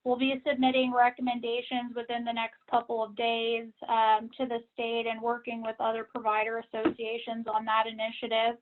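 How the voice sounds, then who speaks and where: A woman with a high voice speaks over a thin, tinny phone connection. Her intonation stays flat and even as she gives an informational briefing on a conference call. The delivery is measured and businesslike, like a staff member updating members. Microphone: phone line. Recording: tinny, narrow-band phone line.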